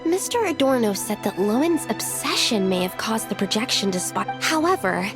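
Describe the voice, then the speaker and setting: An actress playing a polite, earnest young magic researcher with a formal, careful manner in a video game. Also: female voice, fairly high pitch, big pitch swings, normal speed, music in the background. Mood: earnest, puzzled.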